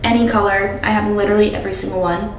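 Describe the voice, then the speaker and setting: A woman's voice: mid-range voice, fairly even intonation, rapid-fire. An American woman in her twenties chatting casually to camera as a vlogger, in a talkative style peppered with "like.". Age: 20s. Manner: chatty, frequent "like". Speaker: lifestyle vlogger. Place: home bathroom with hard surfaces. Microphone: camera a step or two away. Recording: roomy, distant mic, faint noise.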